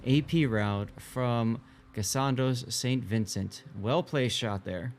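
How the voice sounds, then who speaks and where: A man's voice: mid-range voice, slow pace, slight background noise. A man giving live play-by-play on an esports match in a casual, colloquial way. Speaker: esports co-commentator. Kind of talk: live play-by-play game commentary.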